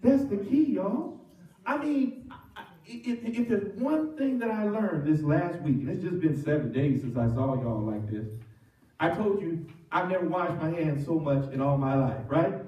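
A middle-aged man preaching a sermon as pastor, animated and full of call-and-response. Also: fairly high pitch, moderate pace, dull audio. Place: church sanctuary with cross wall. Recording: roomy church from far off.

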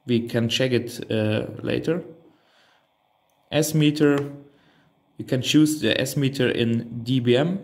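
A man's voice: medium pitch, slow pace, pauses often. A man whose native language is German gives an unscripted hands-on product walkthrough, hesitantly thinking aloud. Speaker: reviewer demonstrating a radio transceiver.

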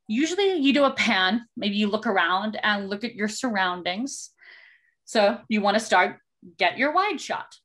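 A woman's voice: a little high, a bit bright. South African woman teaching a video workshop. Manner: chatty, explanatory, many ums and asides. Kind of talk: online workshop teaching over slides. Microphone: video call.

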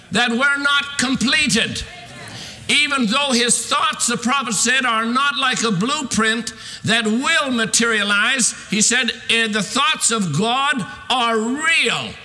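Male preacher, 60s, very high forceful voice, emphatic sermon delivery, recorded in a reverberant hall. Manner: emphatic, declamatory delivery with drawn-out stressed phrases. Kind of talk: fervent sermon. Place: large church sanctuary, at a pulpit. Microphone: podium microphone.

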